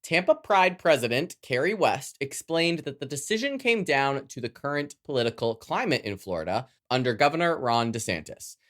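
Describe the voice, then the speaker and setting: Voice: mid-range voice, big pitch swings, moderate pace, few pauses. A podcast host with a Canadian accent reading a scripted news round-up with chatty, campy, playful asides. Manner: sassy, playful.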